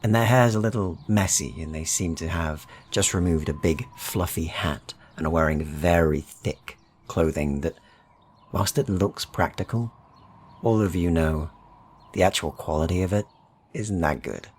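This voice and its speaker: A man narrating a dark fantasy game as game master, in measured, deliberate phrases with dramatic pauses. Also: low-pitched. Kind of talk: atmospheric fantasy storytelling narration.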